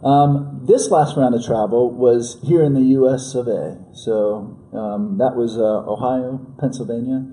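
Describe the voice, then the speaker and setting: A man in his forties from New York, a podcast guest who talks in a halting, casual way full of fillers and restarts. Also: medium pitch, mellow, low-quality recording. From New York, USA. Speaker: guest entrepreneur.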